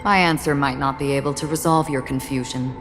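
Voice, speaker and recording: Low-voiced, solemn, stoic swordswoman. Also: steady tone. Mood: gentle, philosophical. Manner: measured, grave, formal. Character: solemn, stoic female swordswoman, cryptic and philosophical. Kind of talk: English video game cutscene acting. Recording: long reverb, music behind the voice.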